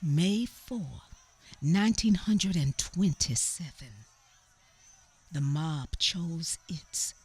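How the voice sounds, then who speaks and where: A woman playing a grave, slow-spoken memoirist. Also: on the low side, husky, heavily acted. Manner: emphatic, with hushed asides and knowing murmurs. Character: woman recalling her youth, grave and wry. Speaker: narrator of a radio play. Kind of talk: radio theater storytelling.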